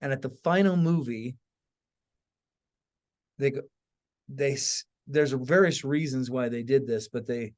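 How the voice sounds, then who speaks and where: Man, middle-aged, medium-pitched voice, informal podcast chat, thinks aloud. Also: unhurried, pauses often, a little mellow. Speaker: podcast co-host. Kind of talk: casual conversational discussion.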